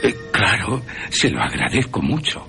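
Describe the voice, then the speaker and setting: A man's voice: on the low side, sing-song, measured pace, bright, forceful tone, voice pushed up, full character voice. A man voicing a volatile, pleading asylum patient in a radio play, agitated and prone to stammering, with music underneath. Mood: eager.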